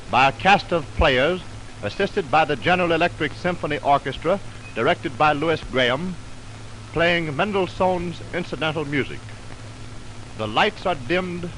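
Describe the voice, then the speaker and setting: An American man announcing a 1920s radio programme from a script, formal, measured and declamatory, on a scratchy old recording. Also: on the high side, fairly bright tone. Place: radio studio.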